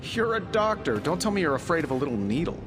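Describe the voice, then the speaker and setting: An actor playing a wisecracking, cocky middle-aged inventor hero, sardonic and glib, in a video game cutscene. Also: male voice, fairly high pitch, sing-song, fast talker. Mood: sardonic banter. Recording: long reverb, music behind.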